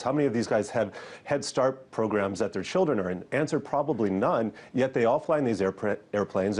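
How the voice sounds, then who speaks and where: A man's voice: on the low side, a little mellow. A man giving opinionated financial commentary in conversation on a news panel.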